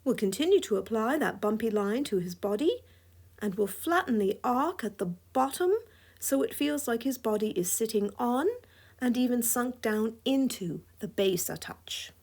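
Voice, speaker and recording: A woman's voice: on the high side, animated, normal speed, a little mellow. A woman with a scratchy voice giving a drawing tutorial voice-over, playful and chuckling often. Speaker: drawing instructor.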